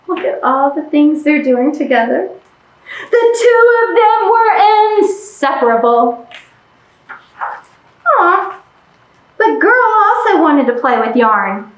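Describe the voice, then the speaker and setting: A woman's voice: high voice, big pitch swings, takes it slowly, long pauses. A woman presenting a children's storytime in an exaggerated, playful way, often putting questions to young listeners. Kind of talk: animated children's storytime, reading and acting out. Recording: roomy, echoing room sound.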